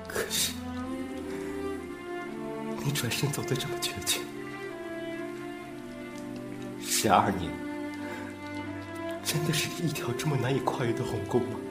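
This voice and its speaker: A man's voice: medium pitch, sing-song, moderate pace, pauses often, loud music behind the voice, close to an everyday voice. A man playing an earnest, clinging twenty-year-old in love, pleading with passion in a melodramatic audio drama. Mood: heartbroken. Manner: pleading, impassioned. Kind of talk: Chinese amateur audio drama, melodramatic acting.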